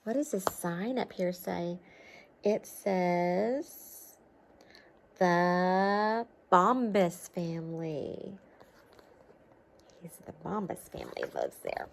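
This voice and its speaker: Expressive, unhurried woman reading to children. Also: on the low side, vocal fry, noisy background. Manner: simple encouraging explanations with checking questions. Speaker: storybook reader for children. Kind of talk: expressive picture-book read-aloud with chatty asides to young listeners.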